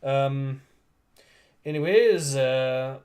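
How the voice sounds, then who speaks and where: A man's voice: medium pitch, drawn-out words, darkish tone. A man hosting a casual livestream, rambling through viewer comments with frequent laughs. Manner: rambling, laughs often, many fillers. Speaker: livestream host.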